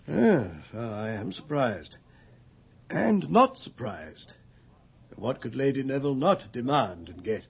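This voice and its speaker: A man playing a gruff, hesitant old colonel with a husky, gravelly voice in a radio drama. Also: medium pitch, sing-song, slow pace, long pauses, exaggerated voice. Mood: musing, surprised. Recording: muffled old radio recording.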